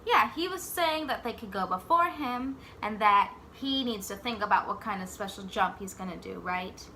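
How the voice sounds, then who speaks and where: Bright-voiced woman reading aloud to children. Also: mid-range voice, expressive, a little hiss or hum. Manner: pauses to ask simple questions and echo the answers. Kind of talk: expressive picture-book read-aloud with questions to children.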